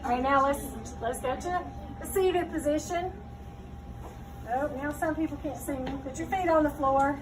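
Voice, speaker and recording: A woman's voice: high-pitched, normal speed, music in the background. A woman yoga teacher leading a children's class, giving short step-by-step cues and checking in with questions. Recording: distant mic, clearly noisy room.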